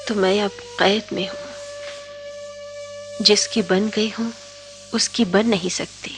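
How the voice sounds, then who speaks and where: A woman's voice: mid-range voice, fairly even intonation, takes it slowly, music in the background. An actress playing a defiant young college woman desperately in love, speaking poetically and theatrically in a Hindi radio drama. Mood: anguished, defiant.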